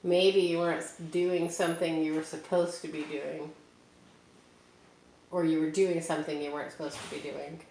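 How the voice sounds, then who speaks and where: A woman reading a picture book aloud to children, stopping often for gentle, chatty asides. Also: low voice, flat delivery, normal speed, gravelly. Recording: echoey room with faint hiss.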